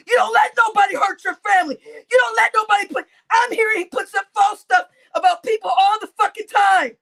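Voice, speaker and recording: A woman livestreamer, crying as she rants at her chat, shouting with heavy swearing and repeating her outbursts. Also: extremely high pitch, creaky. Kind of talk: angry livestream rant to chat.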